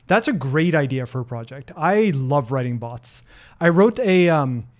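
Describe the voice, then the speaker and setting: A man's voice: fairly high pitch, darkish tone. A man in his thirties from Norway, speaking English as a second language, casually answering viewer questions on a stream from his home office into a desk mic, with lots of ums and restarts. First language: Norwegian. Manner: chatty, many ums and restarts, reads questions aloud. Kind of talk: casual livestream Q&A. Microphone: desk broadcast mic close to face.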